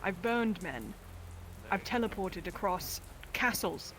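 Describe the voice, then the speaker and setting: Defiant young chaos mage girl, woman acting, mid pitch, fantasy rp, rain noise all over it. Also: expressive, takes it slowly, a bit bright, low-quality recording. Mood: insistent, proud. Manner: defiant, insistent, self-justifying. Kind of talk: improvised fantasy roleplay acting.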